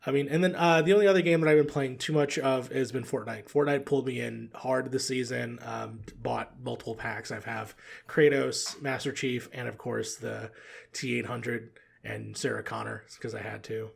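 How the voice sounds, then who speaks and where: A man chatting casually as a podcast guest, telling rambling stories in slangy language with a gravelly, husky voice. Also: mid-range voice, fairly even intonation, moderate pace. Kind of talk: casual gaming chat.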